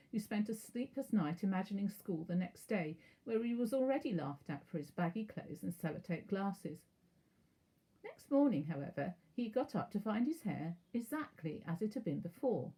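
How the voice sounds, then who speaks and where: Woman reading a kids book out loud, soft dark husky voice, expressive teacher style. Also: on the low side, poor audio. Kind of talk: children's storybook narration.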